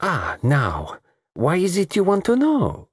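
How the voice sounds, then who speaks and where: Man putting on a coquettish South American woman, lilting, husky. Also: medium pitch, huge pitch swings, dry recording, studio quality, voice pushed up, exaggerated voice. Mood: arch. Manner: coquettish, drawn-out phrasing.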